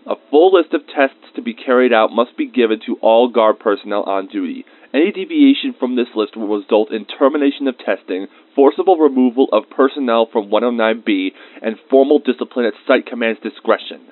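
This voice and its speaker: A man's voice: medium pitch, a little fast, hardly any pauses, dry recording, natural voice. A man playing an easily irritated researcher, dictating lab log entries as scripted narration in an audio drama. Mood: formal, clinical. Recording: muffled, band-limited close dry recording.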